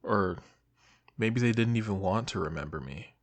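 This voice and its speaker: Guy acting as a shy young man, your old best friend, low voice, casual n hesitant, audio roleplay. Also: expressive, moderate pace. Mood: wistful, hesitant. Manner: hesitant, casual, soft-hearted, trailing off. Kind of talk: intimate audio roleplay to the listener.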